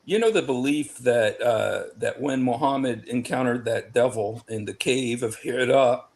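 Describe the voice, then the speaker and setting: A man talks in a casual online panel with an everyday mid-range pitch. He is emphatic and excitable, tripping over his words and repeating phrases as he pushes his point. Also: measured pace, creaky. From United States. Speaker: panel guest.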